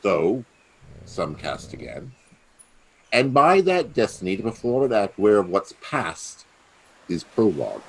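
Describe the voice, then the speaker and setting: An actor playing a scheming, treacherous usurping duke in a Shakespearean radio play, theatrical and insinuating. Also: male voice, on the low side, very animated, unhurried, vocal fry, long pauses, slightly rough, exaggerated voice, low-quality recording.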